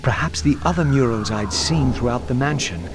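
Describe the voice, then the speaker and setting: A man's voice: medium pitch, fairly quick, natural voice. An actor playing a proud, brooding vampire lord who narrates his quest in a grandiose, formal, theatrical game style. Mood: somber, contemplative. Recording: music behind the voice. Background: loud music.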